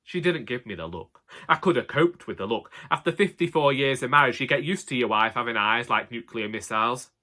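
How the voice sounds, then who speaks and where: Male actor as elderly henpecked husband, Northern English accent, bright forward voice, wry deadpan grumbling, comic audiobook storytelling. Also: mid-range voice, a little fast. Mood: wry, exasperated. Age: older. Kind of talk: comic scripted monologue narration.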